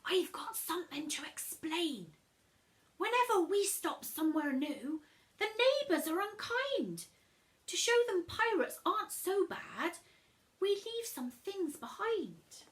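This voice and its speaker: A woman's voice: extremely high pitch, very animated, slow pace, voice pushed up, heavily acted. A woman voicing a friendly, adventurous young pirate boy in a storybook, with an earnest, sing-song delivery. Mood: earnest explaining.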